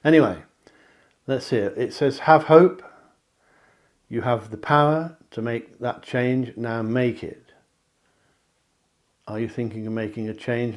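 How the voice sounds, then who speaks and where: Middle-aged male psychic, chatty card-reading host with a slightly hoarse, mid-range voice. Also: medium pitch, a little mellow. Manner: chatty, reassuring, with asides and self-promotion. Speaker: psychic reader. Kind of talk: tarot card reading to camera.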